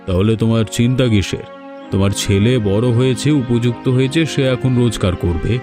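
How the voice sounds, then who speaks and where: A man voicing a well-off village landlord in a cartoon, speaking in a measured, authoritative way. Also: mid-range voice, music in the background, natural voice. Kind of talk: Bengali cartoon dubbing.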